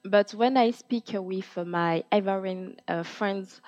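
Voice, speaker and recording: A woman's voice: medium pitch, a little mellow. Hesitant young woman, lo-fi. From Côte d'Ivoire. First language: French. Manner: hesitant, many pauses and fillers. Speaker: guest. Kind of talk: casual interview chat. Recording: dull lo-fi audio.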